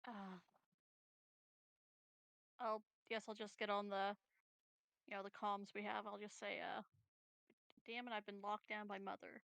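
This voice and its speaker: A woman's voice: medium pitch, little pitch movement, a little slow, gravelly, long pauses, fairly bright tone, no room sound. A woman chatting casually as a player in an online tabletop game.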